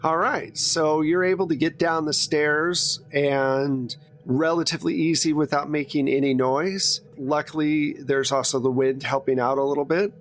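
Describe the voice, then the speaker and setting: A man's voice: on the high side, steady tone, moderate pace, soft background music. A man acting as game master, narrating a tabletop horror game in a conversational way.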